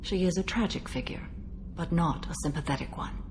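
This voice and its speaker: An actress playing a stoic, centuries-old alien warrior woman bound by a code in a video game, speaking in a measured, formal, solemn manner. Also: lowish voice, noisy background, close to an everyday voice. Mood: solemn, stern. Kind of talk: video game dialogue acting.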